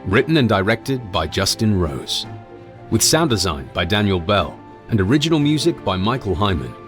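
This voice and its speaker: Male announcer, lowish pitch, lively intonation, scripted credits read, music behind. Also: normal speed.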